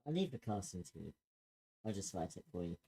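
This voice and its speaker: Soft-edged mellow voice helping out in a casual online group chat. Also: low-pitched, sing-song, brisk, slightly rough, rough recording. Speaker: participant helping run the session.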